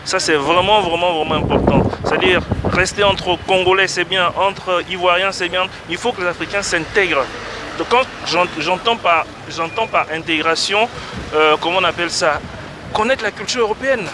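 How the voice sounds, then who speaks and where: A middle-aged man speaks in a measured, lecturing way, repeating words for emphasis. His voice is high for a man. Clear traffic noise is heard behind him on a busy street, recorded on a phone. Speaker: passerby interviewee. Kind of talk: street interview answer. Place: busy city street outdoors. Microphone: interviewer's handheld phone.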